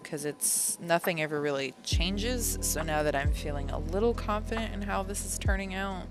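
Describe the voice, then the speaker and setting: A woman's voice: on the low side, normal speed, over music. A woman in her thirties chatting casually on a live stream, rambling and laughing at herself as she goes. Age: 30s. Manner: rambling, self-amused, laughs often.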